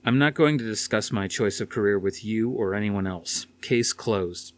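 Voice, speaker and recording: A male reader plays an exasperated boyfriend in an audiobook story. His voice sits fairly low. He talks with cool control and a dismissive edge. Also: moderate pace, natural voice. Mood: disgusted. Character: cool, exasperated career man arguing with his girlfriend.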